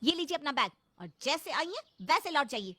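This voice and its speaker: A woman playing a bold, sharp-tongued young daughter-in-law in a cartoon moral story, scolding and outspoken. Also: high voice, big pitch swings, fairly quick, pitched above the performer's own voice, exaggerated voice. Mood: angry, defiant.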